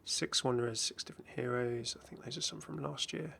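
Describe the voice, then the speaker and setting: Chatty man with bright, creaky voice. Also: mid-range voice, fairly even intonation, a little fast, slight background noise. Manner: chatty, rambling. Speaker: gaming YouTuber. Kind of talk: casual unscripted video commentary and channel update.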